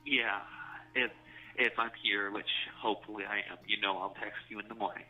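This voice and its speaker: Casting: male inmate, lowish voice, halting flirty phone chat on a dull jail line. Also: steady tone, soft background music. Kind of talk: intimate casual phone chat.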